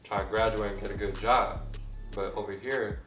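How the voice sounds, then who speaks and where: A man in his twenties who grew up in Thailand, a music producer chatting casually in an interview, relaxed and halting with lots of fillers and restarts. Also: lowish voice, far from the mic. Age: 20s. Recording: echoey room, off mic, loud music behind.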